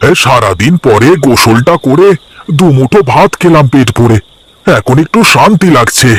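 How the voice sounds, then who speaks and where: A man voicing an eager, defensive village vegetable seller in a cartoon, chatty and folksy with drawn-out hesitations. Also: mid-range voice, expressive, slightly rough. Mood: casual, homely. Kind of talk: cartoon voice acting.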